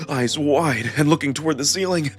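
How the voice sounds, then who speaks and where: Expressive male narrator over music. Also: fairly high pitch, normal speed. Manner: expressive, acted delivery with emotional emphasis. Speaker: narrator and voice performer of a visual novel. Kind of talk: first-person dramatic scripted narration. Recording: music behind the voice.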